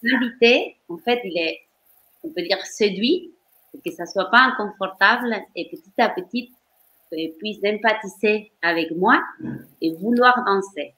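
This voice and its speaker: A woman in her fifties from Argentina with an Argentine Spanish accent, a dancer and choreographer answering interview questions slowly and carefully. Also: mid-range voice, bright, forceful tone, breathy edge, rough recording. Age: 50s.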